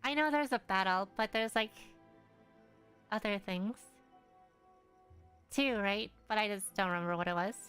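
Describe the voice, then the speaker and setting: A woman's voice: medium pitch, moderate pace, long pauses, a bit bright, smooth, faint music behind. A young woman streaming a game, thinking aloud in a rambling, hesitant way with lots of fillers. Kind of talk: casual gameplay commentary.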